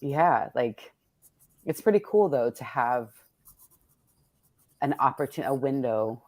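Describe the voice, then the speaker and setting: A woman's voice: animated, slow pace, darkish tone, no room sound. A woman hosting a casual, reflective conversation as a poet, searching for her words and often rephrasing as she goes. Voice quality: low-pitched. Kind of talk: casual reflective interview chat.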